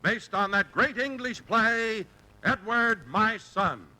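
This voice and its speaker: A man's voice: high-pitched, animated, slow. A man announcing grandly in the style of 1940s radio. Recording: old radio broadcast, hum and hiss.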